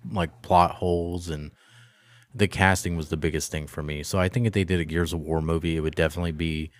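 Young male podcast co-host, loose chatty delivery, low voice, dry sound. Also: fairly even intonation, a little fast, dry recording. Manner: loose, filler-heavy talk. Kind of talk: casual chat.

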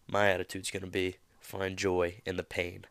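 A man's voice: low-pitched, completely flat delivery, moderate pace, creaky, a bit bright, no room sound. A man hosting a motivational podcast, talking in a casual, rambling way with many fillers. He is a little nasal from a slight cold. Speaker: podcast host.

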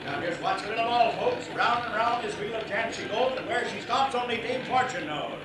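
A man playing a smooth-talking crooked casino croupier in an old radio drama, with a showman's patter. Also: extremely high pitch, brisk. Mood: coaxing. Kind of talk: 1950s radio drama acting. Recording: echoey old radio recording with crowd noise.

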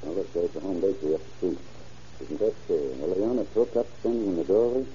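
An actor playing a shrewd, take-charge American county sheriff in an old-time radio drama. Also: male voice, low-pitched, expressive, quick pace, mellow. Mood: brisk, curious. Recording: muffled, noisy old radio recording.

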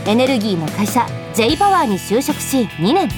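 High-pitched, animated, flustered young office woman. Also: music in the background. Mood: narrating. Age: young adult. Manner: animated, emotive, quick to protest. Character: flustered, headstrong 24-year-old office woman, secretly in love. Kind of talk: Japanese radio drama acting with inner monologue.

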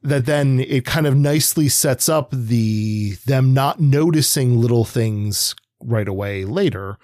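A man's voice: mid-range voice, a little slow, a little mellow, no echo, clean studio sound. A man co-hosting a casual literary discussion, hesitantly thinking aloud in fragments. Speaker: podcast co-host.

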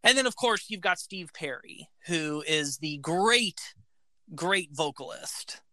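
A man with a high voice, talking eagerly about a band in casual podcast chat, full of facts and "like"s. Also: expressive, slow pace, sharp tone, dry recording. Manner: enthusiastic, fact-filled, says "like" often. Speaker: podcast co-host.

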